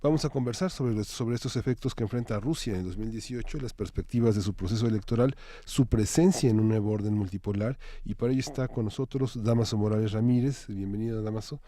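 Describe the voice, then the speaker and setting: Man, Mexican accent, TV host, presenting news, low pitch, mellow dark tone. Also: fast, few pauses. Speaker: TV magazine show host. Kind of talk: reading news intro and presenting.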